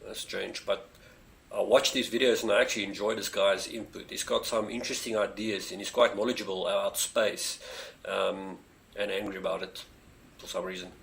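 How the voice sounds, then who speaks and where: A man's voice: on the low side, steady tone, moderate pace, vocal fry, fairly bright tone. A man from South Africa with a South African accent, whose first language is Afrikaans, chatting casually on his own live stream with lots of fillers and eager appeals to viewers. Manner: rambling, many fillers, eager pleas to viewers. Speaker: space channel streamer. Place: home near a street.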